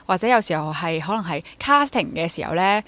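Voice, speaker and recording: A woman's voice: on the low side, animated, brisk, a bit bright. A woman in her twenties with a Hong Kong accent, talking casually and reflectively as an interview guest, dropping English words into her speech. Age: 20s. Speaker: interview guest, freelance stage actor and drama teacher.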